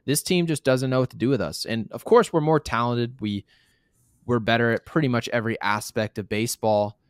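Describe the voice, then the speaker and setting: A man's voice: medium pitch, fairly quick, smooth, no echo, studio quality. A man in his thirties co-hosting a casual sports talk show, speaking bluntly and emphatically, often repeating himself to make a point. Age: 30s.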